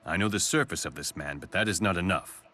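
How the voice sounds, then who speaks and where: A man's voice: low-pitched, quick pace, pushed and bright. A man playing a haughty, commanding man of authority in a video game, stern and condescending.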